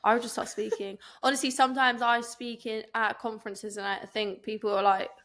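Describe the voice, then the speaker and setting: A woman's voice: fairly high pitch, level tone, a little fast, a bit bright. A young woman with a South East London accent, co-hosting a podcast in colloquial, chatty talk. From England. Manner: chatty, colloquial, laughs along. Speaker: podcast co-host. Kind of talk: casual chat.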